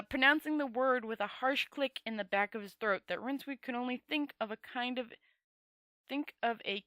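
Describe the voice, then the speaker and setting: A woman's voice: fairly high pitch, bright, forceful tone, no echo. A woman storyteller reading a book aloud in an informal amateur style, often stumbling over words and correcting herself. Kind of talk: amateur read-aloud audiobook narration.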